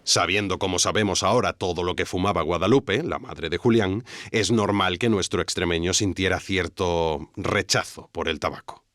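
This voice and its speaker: An actor playing an earnest investigative documentary narrator with an accent from Spain, reading scripted narration. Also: male voice, low-pitched, moderate pace, a bit bright, no echo, clean studio sound. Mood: speculative. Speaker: narrator, host.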